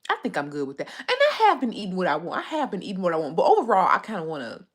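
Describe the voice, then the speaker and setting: A woman's voice: lowish voice, wildly swooping pitch, very fast, husky. A woman hosting a casual livestream, chatting and answering viewer comments, a bit stuffy from a cold. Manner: chatty, rambling, reads viewer comments aloud. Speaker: livestream host.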